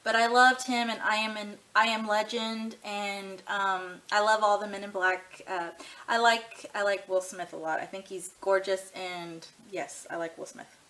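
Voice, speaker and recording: Chatty, bright-voiced woman in her thirties. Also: mid-range voice, level tone, unhurried, rough recording. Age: 30s. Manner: chatty, rambling, many ums and you-knows; easygoing and frank. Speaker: vlogger doing a tag video. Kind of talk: casual chat to camera.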